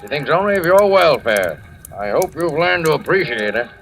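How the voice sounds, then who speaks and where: A man playing a folksy, paternal older small-town gentleman in an old radio drama, avuncular and moralizing. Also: on the high side, big pitch swings, normal speed, a little hiss or hum, low-quality recording. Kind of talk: 1940s radio acting.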